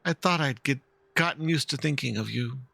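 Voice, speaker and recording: A man voicing a dignified, grieving fox-woman pilot veteran, measured and earnest, in a game dialogue reading. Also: mid-range voice, creaky, bright, forceful tone, dry recording. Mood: wistful grief.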